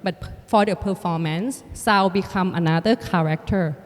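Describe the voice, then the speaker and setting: Thai woman, halting, in a reverberant hall. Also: lowish voice. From Thailand. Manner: halting, many restarts and "like" fillers. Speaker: artist and filmmaker guest. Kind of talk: reflective conversation.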